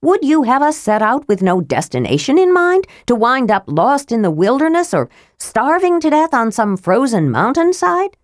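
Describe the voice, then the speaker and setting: A woman reading the part of a stout, fearless middle-aged woman who speaks forcefully and demands answers. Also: high voice, big pitch swings, moderate pace, few pauses, no room sound, clean studio sound, voice pushed up. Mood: demanding.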